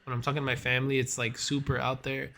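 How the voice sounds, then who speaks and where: A man's voice: medium pitch, monotone, fast, gravelly, sharp tone. Young male co-host of Puerto Rican background; mid-range voice; loose, slangy, rambling chat. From Puerto Rican family background. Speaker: podcast co-host. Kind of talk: casual chat.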